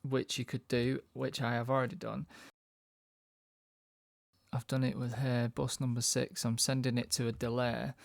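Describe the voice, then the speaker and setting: A man giving a casual, informal music software tutorial, thinking aloud as he works. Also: medium pitch, little pitch movement, normal speed, creaky, pauses often, dry recording.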